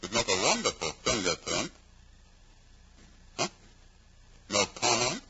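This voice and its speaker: An actor playing a probing, sardonic police inspector in an old-time radio crime drama, with a dry, interrogating manner. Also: male voice, on the low side, big pitch swings, slow pace, sharp tone, husky, heavily acted. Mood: pressing. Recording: noisy, crackly vintage radio recording.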